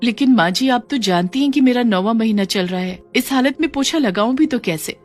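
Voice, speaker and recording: A woman voicing a gentle, long-suffering young mother with a soft, pleading and soothing manner in a cartoon story. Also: a little high, expressive, a little fast, soft background music, natural voice.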